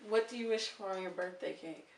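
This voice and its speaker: A woman's voice: mid-range voice, fairly quick, vocal fry. A woman in her thirties hosting a casual interview show, chatty and upbeat. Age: 30s. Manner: upbeat, chatty, lively bursts of excitement. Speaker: host. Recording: echoey room from far off, faint noise.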